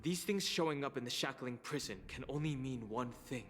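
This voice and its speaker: A man acting as a serious game investigator, sober and deliberate. His mid-pitched voice sounds analytical over faint music. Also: fairly bright tone, natural voice. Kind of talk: dramatic game dialogue.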